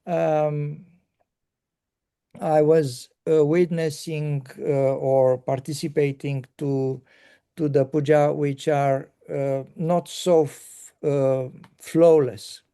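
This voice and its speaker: A man hosting a casual conversation, talking haltingly with many pauses and fillers. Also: on the high side, level tone, warm tone, clean tone. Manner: halting, pausing often with fillers.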